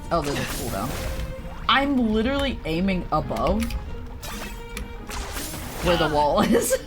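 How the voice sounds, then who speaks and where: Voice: medium pitch, sing-song, a little slow, music in the background. A young adult live streamer giving casual, informal, self-deprecating commentary while playing a game. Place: indoor live stream with game music behind.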